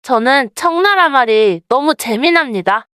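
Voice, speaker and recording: A woman's voice: high-pitched, lively intonation, measured pace, a bit bright, smooth, distant mic. A woman voicing a young male bonded servant in a folktale, wary and timid but given to grumbling. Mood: protesting. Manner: deferential polite speech, wary. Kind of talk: dramatized folktale dialogue.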